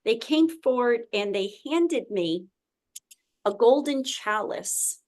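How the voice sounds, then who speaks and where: A woman talking casually and earnestly, often pausing with fillers and restarting her sentences as she recounts a meditation experience. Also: a little high, expressive, a little mellow. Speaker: spiritual tour host. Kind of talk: casual conversation.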